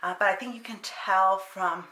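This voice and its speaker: A woman in her thirties whose first language is Spanish, chatting casually to camera as a book vlogger, with many fillers and a voice that trails off quietly at sentence ends. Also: mid-range voice, fairly even intonation, moderate pace. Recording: echoey room.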